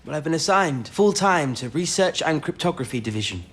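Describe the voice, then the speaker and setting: An actor playing a playful, teasing young suitor in wartime service, wry and light-hearted, in an audio drama. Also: male voice, a little high, expressive, fairly quick, fairly bright tone.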